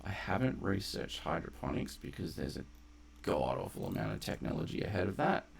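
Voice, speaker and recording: A man's voice: deep voice, big pitch swings, brisk, vocal fry, breathy edge. A man doing casual, rambling live gameplay commentary as a streamer, conversational and loose with swearing. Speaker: game streamer. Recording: faint background noise.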